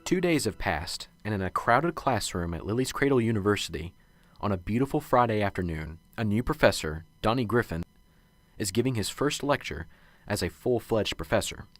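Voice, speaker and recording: A man's voice: fairly low pitch, lively intonation, normal speed, no room sound, faint music behind, natural voice. A man playing a formal, presentational announcer narrating a radio mystery serial.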